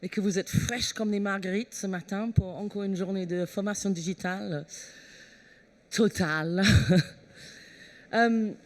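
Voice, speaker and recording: A woman's voice: medium pitch, measured pace, long pauses. A woman hosting a trade show stage, welcoming the audience and introducing a speaker in a chatty way with frequent fillers. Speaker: event host.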